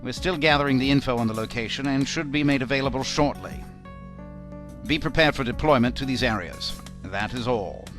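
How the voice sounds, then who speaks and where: Commander for audio drama, male actor: mid-range voice, gruff manner, clipped orders, skeptical, light music underneath. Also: mid-range voice, brisk, natural voice. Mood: stern briefing. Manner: stern, clipped, authoritative.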